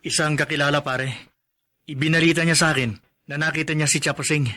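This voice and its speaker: A man's voice: a little high, steady tone, a little fast, sharp tone. An actor playing a protective, worried young husband and expectant father in a radio drama. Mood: relieved.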